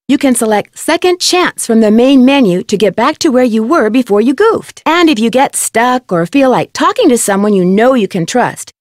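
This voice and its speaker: A woman's voice: fairly high pitch, no echo, clean studio sound. A woman voicing a plucky, curious young woman detective in scripted lines for an adventure game. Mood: cheeky, upbeat.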